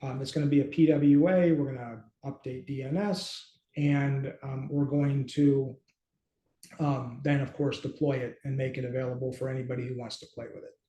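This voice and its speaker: A middle-aged man hosting a casual screen-share walkthrough, speaking haltingly as he thinks aloud. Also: medium pitch, flat delivery, warm tone. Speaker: host and app company team member. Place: small indoor room, video call. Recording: roomy, echoey home room.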